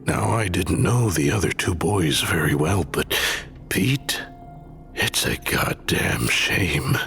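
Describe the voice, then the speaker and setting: An actor playing a grieving, weary older man, gruff and somber, in a scripted audio drama. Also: sits low, animated, fairly bright tone, faint music behind, heavily acted. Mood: somber, haunted. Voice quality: gravelly. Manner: slow, somber, deliberate.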